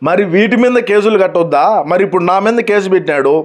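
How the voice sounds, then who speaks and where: A man's voice: sits high, animated, quick pace. A man talking as a political commentator in a satirical style.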